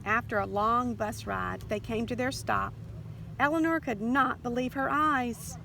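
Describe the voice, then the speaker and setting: A woman reading a children's storybook aloud in an expressive, deliberate narration. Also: fairly high pitch, creaky. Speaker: storybook reader. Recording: faint background noise.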